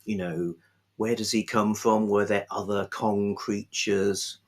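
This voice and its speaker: Male book vlogger, lower register, slightly rough tone, unscripted, halting but enthusiastic delivery. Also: flat delivery. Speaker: book reviewer, video host. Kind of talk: unscripted book talk to camera.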